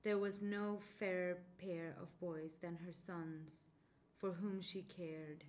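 Slow, careful young woman. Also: fairly low pitch, fairly even intonation, a little mellow. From Mexico. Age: 20s. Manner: careful, deliberate, many ums. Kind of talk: reading a passage, then casual self-introduction.

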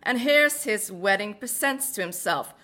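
A woman's voice: mid-range voice, lively intonation, unhurried, pushed and bright. Vengeful betrayed queen, bitter and sarcastic, Norwegian accent, a woman reciting. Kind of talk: dramatic monologue recital.

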